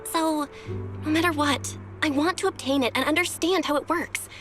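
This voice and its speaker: A young female guide from a video game with a very high voice. She is composed and caring, speaking in a measured, gently teasing way with quiet determination. Music sits clearly behind the voice. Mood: serious, determined. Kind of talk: video game story voice acting.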